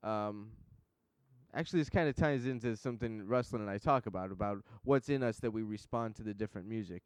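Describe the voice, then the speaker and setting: Chill guy hosting a podcast, kinda rambly, low smooth voice. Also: fairly quick, no room sound. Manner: laid-back, rambling and enthusiastic. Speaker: podcast host. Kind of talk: casual interview chat.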